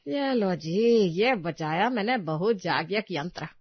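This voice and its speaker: A woman voicing a bossy, sarcastic middle-aged mother-in-law in a family story, nagging theatrically and loudly. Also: medium pitch, expressive, takes it slowly, no room sound, dull audio. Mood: sarcastic, aggrieved.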